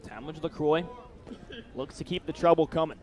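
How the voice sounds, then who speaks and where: Higher-pitched man calling baseball play-by-play. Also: normal speed, crowd noise. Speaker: play-by-play announcer. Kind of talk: live baseball play-by-play commentary.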